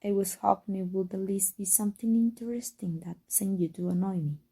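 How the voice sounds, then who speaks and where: A prickly supervillainess, played by a woman in an ASMR roleplay audio drama. Her medium-pitched voice is soft-edged and mellow, with some huskiness. She delivers her barbs with theatrical, sardonic scorn, taunting her rival. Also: a little fast, slightly rough. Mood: irritated, guarded.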